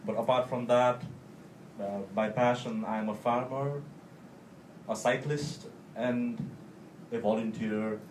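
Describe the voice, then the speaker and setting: A man from Nepal with a Nepali accent, speaking personally to an audience in halting, deliberate phrases. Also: mid-range voice, fairly even intonation, a little mellow. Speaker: guest speaker, volunteer. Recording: echoey room, distant mic, clear noise.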